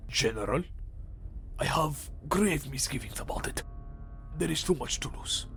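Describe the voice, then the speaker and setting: A man voicing a nervous, scheming alien trade magnate with a creaky, halting voice in a comic dub, faint music behind. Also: a little high, animated, fairly bright tone, exaggerated voice. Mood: anxious, guarded.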